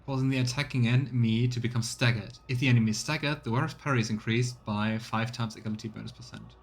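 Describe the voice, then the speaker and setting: A man streaming a role-playing game, chatting casually as he plays and rattling off item descriptions in quick bursts. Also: medium pitch, steady tone, creaky. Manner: thinks aloud, reads item text in quick bursts. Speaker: let's play streamer. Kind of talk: casual gameplay commentary.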